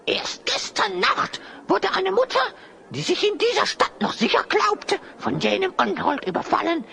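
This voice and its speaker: A very high, gravelly creature voice: a sly hyena-headed swindler from a radio play. He declaims slowly and pompously, like a crooked rabble-rouser addressing a crowd. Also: wildly swooping pitch, sharp tone, heavily acted. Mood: bombastic, scheming. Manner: declamatory, bombastic speech-making. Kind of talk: German audio drama acting.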